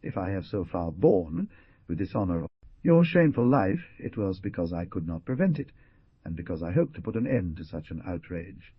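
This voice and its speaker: A man's voice: deep voice, very animated, normal speed, husky, dry recording, exaggerated voice. A man voicing a proud young man who speaks in a stern, commanding way, in a dull lo-fi recording. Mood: cold threatening. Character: cold, proud young man, grimly resolved.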